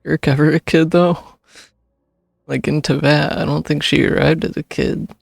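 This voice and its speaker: A man's voice: fairly high pitch, flat delivery, normal speed, gravelly, breathy edge, no echo. A man streaming a game, giving casual, musing reaction commentary in short puzzled asides.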